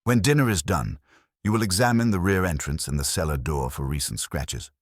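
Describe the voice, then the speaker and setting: A man's voice: low-pitched, no room sound, clean studio sound. A man voicing an imperious, unruffled older master detective who speaks formally and with command in a detective story. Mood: stern, exacting. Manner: formal, commanding, deliberate wording.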